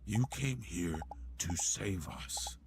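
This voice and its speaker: A zealous cult devotee, as a male narrator puts him on, talking low and slow in a near whisper. The lines drag out with reverent menace. A steady hiss sits under the voice, which sounds slightly off the mic. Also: heavily acted. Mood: insistent. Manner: hushed, half-whispered pleading.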